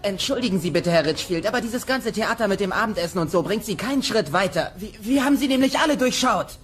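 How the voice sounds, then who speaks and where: An earnest teenage dinosaur son. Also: male voice, high voice, animated, fast talker, fairly bright tone, faint music behind. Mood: indignant. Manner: sincere, idealistic, protesting. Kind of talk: German-dubbed sitcom audio play.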